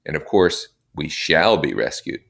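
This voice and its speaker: A man's voice: rumbling low, big pitch swings, unhurried, vocal fry, fairly bright tone, heavily acted. A man voicing a confident, insistent boy leader who declaims to a group in a storybook reading. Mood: confident, rousing. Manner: emphatic, declaiming.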